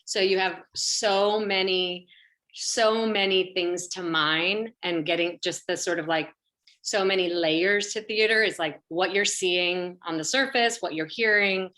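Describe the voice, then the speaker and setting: A woman's voice: on the low side, unhurried, bright, forceful tone. A woman, an actor and improviser, chatting casually as a guest on a panel.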